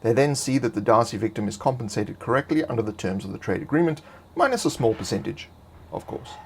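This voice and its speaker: A man reading scripted fantasy game lore in a measured, expository way. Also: medium pitch, lively intonation, vocal fry, a little mellow, slight background noise. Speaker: narrator.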